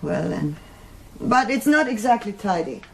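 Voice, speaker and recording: A woman's voice: mid-range voice, big pitch swings, unhurried, vocal fry, background noise. A woman playing a guarded, curt older German housewife with a German accent in a radio drama. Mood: reluctant.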